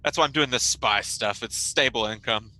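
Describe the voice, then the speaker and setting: A man's voice: medium pitch, moderate pace, a crowd in the background. A man playing in a tabletop game, chatting casually and joking as he builds his character. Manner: jokey, rambling, laughs often. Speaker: player.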